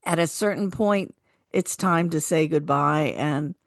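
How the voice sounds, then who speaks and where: A woman's voice: deep voice, unhurried, vocal fry, no echo, studio quality. An older woman, a retired actress, talking casually as a radio co-host.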